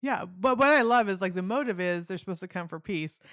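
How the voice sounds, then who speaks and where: A woman's voice: medium pitch, expressive, brisk, a bit bright, dry recording, clean studio sound. A middle-aged woman hosting a casual book interview, enthusiastic and chatty, often interrupting her own sentences as she rambles. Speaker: podcast host.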